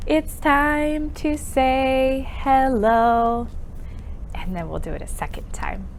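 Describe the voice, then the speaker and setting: A woman hosting an interactive children's storytime with sing-along rhymes, speaking expressively and encouragingly, asking questions and leaving pauses for answers. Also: high-pitched, slight background noise. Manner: expressive, exaggerated delivery for young children.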